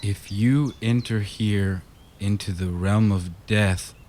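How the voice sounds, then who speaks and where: Male actor as reserved visiting husband in radio drama; lowish, unhurried delivery, mild and serious. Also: steady tone, a little mellow. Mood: uneasy, sober. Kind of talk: radio play acting. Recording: radio drama with faint noise.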